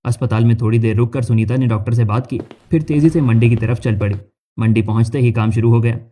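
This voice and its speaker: Mellow male story narrator. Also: mid-range voice, steady tone, fast, slight background noise.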